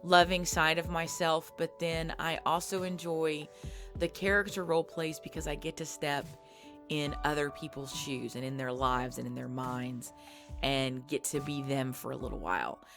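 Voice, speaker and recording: Low-voiced woman, soft casual Q&A. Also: fairly even intonation, moderate pace. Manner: soft, thoughtful, with fillers and restarts. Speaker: ASMR creator answering viewer questions. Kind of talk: casual Q&A talk. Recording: faint background music.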